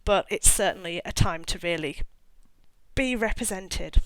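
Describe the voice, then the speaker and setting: A woman's voice: mid-range voice, lively intonation, measured pace, no room sound, rough recording. A middle-aged woman talking casually as an author about her own work, in a chatty, rambling way with self-mocking asides. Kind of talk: casual talk to camera.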